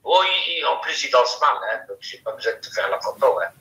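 A man's voice: mid-range voice, very animated, a little fast, sharp tone. A man with an Algerian accent chatting casually as a caller, telling mocking stories and mixing two languages. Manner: mocking storyteller, switching between French and Arabic. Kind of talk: casual chat. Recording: echoey radio-like call audio.

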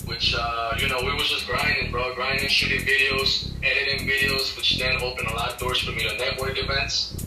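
A man's voice: mid-range voice, big pitch swings, a little fast, creaky. A man around thirty from Ecuador, giving casual, slangy interview answers over a video call. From Ecuador, moved to the US at twelve. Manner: informal, slangy address. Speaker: interview guest, music A&R executive. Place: small indoor office. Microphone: video call, laptop distance. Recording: roomy, distant video-call audio with music behind.

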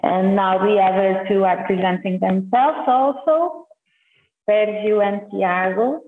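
Portuguese-accented female teacher, hesitant English, thin phone-like audio in a roomy space. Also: medium pitch. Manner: careful, hesitant English with pauses. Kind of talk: video call introduction. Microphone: video call. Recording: tinny call audio in echoey room.

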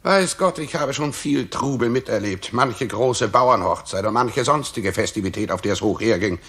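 A man's voice: mid-range voice, normal speed, fairly bright tone, natural voice. An actor playing a grumbling, self-important roguish messenger in a 1960s German radio play, telling his tale in a boastful, indignant manner. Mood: bewildered, curious.

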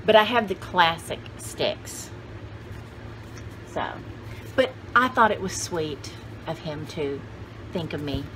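Slow-talking older woman, noisy room. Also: mid-range voice. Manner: folksy, exclamatory, talks to herself and viewers. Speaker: vlogger showing vintage finds. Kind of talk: casual rambling chat to camera. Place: cluttered indoor room with shelves.

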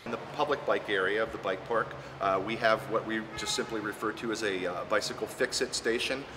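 A man's voice: fairly low pitch, brisk. A middle-aged man who runs a parking garage, speaking in an on-camera interview with many "uh" fillers, recorded inside a parking garage. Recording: noisy garage ambience.

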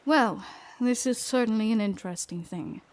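A woman playing a wary, bookish young woman in an audio drama, musing to herself in a measured way. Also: a little high, natural voice. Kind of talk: fan audio drama monologue. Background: music.

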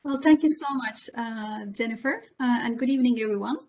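Higher-voiced woman. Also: brisk, darkish tone. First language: Turkish. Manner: polite and formal, with frequent fillers. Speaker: presenter. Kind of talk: formal presentation on a video call. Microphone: video call, webcam in a home room.

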